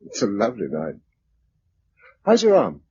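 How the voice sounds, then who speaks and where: A man's voice: lowish voice, huge pitch swings, normal speed, warm tone, husky, heavily acted. Male survivor, radio drama: wry, tender, understated, varied intonation, slightly muffled recording. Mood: gentle. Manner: conversational, understated. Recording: dull radio recording, faint noise.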